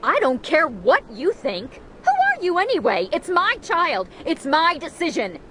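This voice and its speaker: A woman's voice: very high-pitched, huge pitch swings, measured pace, fairly bright tone, a little hiss or hum, heavily acted. A woman playing a stubborn, defensive pregnant widow in a video game drama. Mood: defiant.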